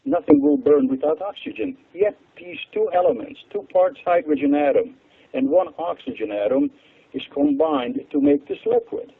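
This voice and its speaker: Older Hungarian man, gravelly and tinny. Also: normal speed. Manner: animated, chuckles often, pitch leaps for emphasis. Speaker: interview guest, water expert. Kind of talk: explanatory interview talk. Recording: tinny phone-like line.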